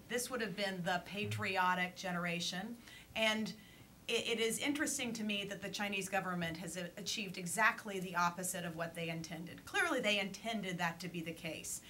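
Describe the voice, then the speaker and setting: A woman speaking as a panelist at a policy discussion, thoughtful, pausing often with fillers and restarts. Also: medium pitch, sharp tone, slight background noise.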